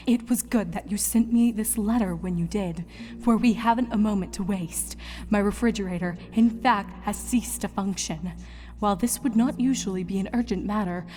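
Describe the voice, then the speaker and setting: A woman plays a grave, regal pony princess from a storybook. Her delivery is formal and measured, in a womanly voice with music underneath. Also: mid-range voice, warm tone, natural voice.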